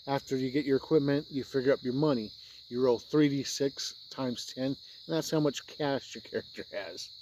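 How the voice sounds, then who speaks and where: A man's voice: medium pitch, slight background noise. A man giving an unscripted explanatory review, talking plainly step by step and sometimes repeating himself. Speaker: tabletop game reviewer.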